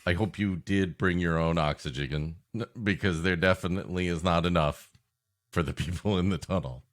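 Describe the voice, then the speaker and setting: A man's voice: sits low, moderate pace. A man co-hosting a podcast with comedic banter, riffing in a wry, playfully sarcastic way. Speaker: podcast co-host.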